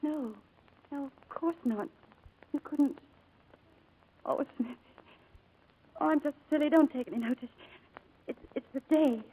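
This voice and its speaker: A woman's voice: sits high, unhurried, long pauses, phone-quality sound. An actress in a 1940s-style radio drama playing a kind, chatty young showgirl, coaxing and protective. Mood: tender, encouraging. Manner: bubbly, coaxing, gently reassuring. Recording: old radio broadcast recording.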